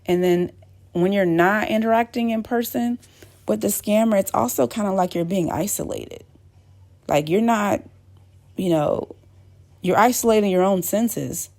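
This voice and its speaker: A woman's voice: fairly low pitch, a little mellow. A woman host talking casually to her viewers, rambling with many fillers and restarts. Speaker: channel host. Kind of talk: casual talk to camera.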